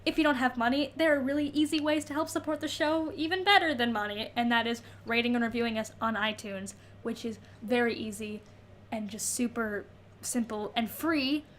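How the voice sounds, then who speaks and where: A woman's voice: on the high side, moderate pace. A young adult woman co-hosting a podcast in casual comedic chat, playful and slangy, given to exaggeration and repeating words for emphasis. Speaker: podcast co-host.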